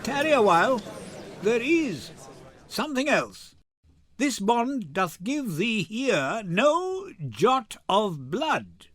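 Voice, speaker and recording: Guy doing young woman posing as a stern judge, really high voice, slow declamatory shakespeare, swoops around. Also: sing-song, a bit bright, dry recording, exaggerated voice. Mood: stern, deliberate. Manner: measured, declamatory, emphatic. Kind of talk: dramatic Shakespeare reading.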